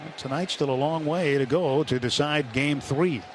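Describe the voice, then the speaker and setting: Higher-voiced American baseball announcer, noisy ballpark behind him. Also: male voice, moderate pace. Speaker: baseball radio play-by-play announcer. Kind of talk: live baseball commentary. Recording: radio broadcast over clear stadium noise. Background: ballpark ambience and crowd noise.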